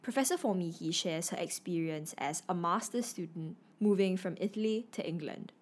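A woman's voice: on the low side, normal speed. A woman narrator reading a scripted introduction in a documentary style.

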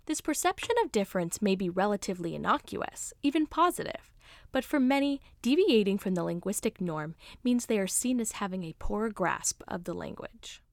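A woman's voice: mid-range voice, expressive, normal speed, no room sound. A woman narrator reading a scripted explanatory piece in a documentary style.

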